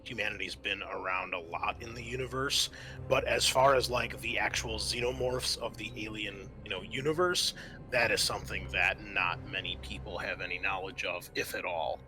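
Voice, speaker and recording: A man working as game master, improvising narration for a tabletop roleplaying game in a halting, thinking-aloud way. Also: lowish voice, poor audio.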